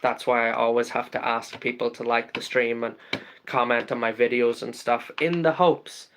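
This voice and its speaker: Uk guy on a live stream, mid-pitched voice, chatty and rambly, lots of ums, says hi to everyone in chat. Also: steady tone, normal speed, fairly bright tone, a little hiss or hum. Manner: chatty, reads out viewer comments and greets viewers by name; many ums and uhs, rambling.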